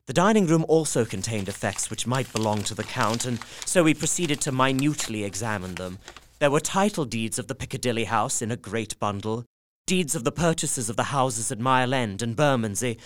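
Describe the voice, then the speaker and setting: An actor narrating as a young Victorian man writing his journal, measured and earnest, over faint background noise. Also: mid-range voice, expressive, natural voice. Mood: tense. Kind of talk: audio drama narration.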